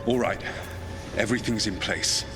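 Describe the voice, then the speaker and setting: A man's voice: lowish voice, normal speed, natural voice. Guy playing a determined rebel commander in a fantasy game, earnest, giving orders, music behind. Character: resolute male rebel leader commanding fighters. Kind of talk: fantasy video game acting.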